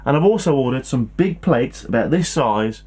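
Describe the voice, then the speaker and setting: Animated British man, lively pitch. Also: moderate pace, husky. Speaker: co-host. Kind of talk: casual comic banter.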